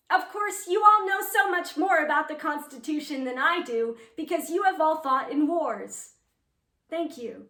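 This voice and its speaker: A woman's voice: sits high, bright, forceful tone, recorded from a distance. A woman playing an earnest, eager playwright reliving her teenage speechmaking self, in a chatty, emphatic theatrical monologue addressed to an audience. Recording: echoey room.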